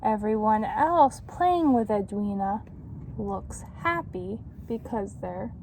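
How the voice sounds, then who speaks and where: A woman tutor reading a children's book aloud in an instructive way, pausing to put questions to young listeners. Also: mid-range voice, expressive, darkish tone, a little hiss or hum, rough recording.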